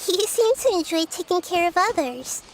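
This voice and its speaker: A woman's voice: extremely high pitch, expressive, moderate pace, a little hiss or hum, rough recording. A woman voicing a friendly, lightly teasing young traveler in an anime game. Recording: faint music behind the voice.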